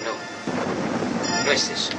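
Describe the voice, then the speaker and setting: A man's voice: drawn-out words, far from the mic, over loud music. A man playing a wary, commanding resistance fighter with an Argentine accent in a radio drama.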